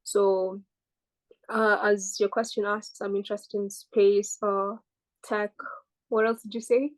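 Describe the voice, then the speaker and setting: A woman's voice: mid-range voice, level tone, takes it slowly, poor audio. Female guest from Kenya, British-sounding accent, chatty and rambling. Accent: British-sounding English. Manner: chatty, rambling, self-deprecating. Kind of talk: casual interview answers.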